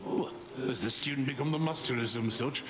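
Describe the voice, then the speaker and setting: A man's voice: mid-range voice, fairly quick, natural voice. A man voicing a brash, teasing young god in a video game, cheeky and casual.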